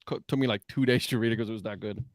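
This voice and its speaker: A man's voice: fairly low pitch, fairly even intonation, racing, dry recording, studio quality. A man co-hosting a baseball talk show in a casual, conversational style. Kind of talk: casual chat.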